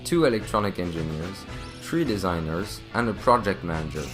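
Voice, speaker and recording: A man's voice: sits low, lively intonation, normal speed, music in the background. A man reading scripted narration as the narrator of a corporate video.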